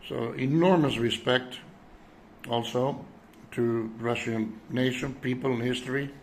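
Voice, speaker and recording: Slow, halting man in his seventies. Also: mid-range voice, steady tone, darkish tone, slight background noise, low-quality recording. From Europe. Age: 70s. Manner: halting, searching for words. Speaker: citizen vlogger addressing world leaders. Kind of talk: unscripted reflective monologue.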